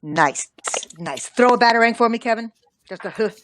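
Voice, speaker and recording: A woman voice director briskly reading stage directions and cueing actors. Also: on the high side, expressive.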